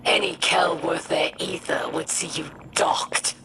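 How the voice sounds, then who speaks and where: A woman's voice: sits low, flat delivery, a little slow, heavy background noise. A woman playing a haughty older female alien warlord in a video game, imperious and disdainful, with formal diction. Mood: scornful. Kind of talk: dramatic video game dialogue. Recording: music behind the voice. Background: background music.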